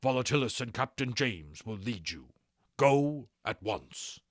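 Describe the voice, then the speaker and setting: A commanding old-school dragon officer acted by a male narrator issues grave orders. The storybook voice is urgent and deliberate, recorded dry and close. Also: mid-range voice, expressive, no room sound. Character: authoritative male dragon training master giving brisk military orders.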